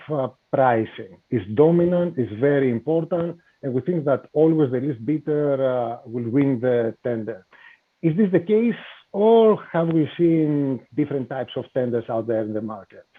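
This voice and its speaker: A man hosting a business podcast, introducing his guest and asking questions with many "uh" pauses. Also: a little high, lively intonation. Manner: hesitant, many "uh" fillers. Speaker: podcast host. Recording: tinny phone-like call audio.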